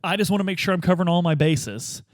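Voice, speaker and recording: An eccentric police detective played by a man in a radio play, chummy and placating. His voice sits a bit high and he feels his way hesitantly through questions. The sound is dry and close. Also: fast, no echo, close to an everyday voice. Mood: earnest, coaxing.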